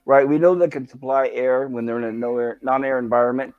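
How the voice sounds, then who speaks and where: The sound is dry and slightly muffled, lo-fi stream audio. A man of middle pitch talks casually as a co-host, haltingly repeating words as he works out a thought. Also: steady tone, dry recording. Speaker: co-host of an online review stream. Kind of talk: casual fan discussion.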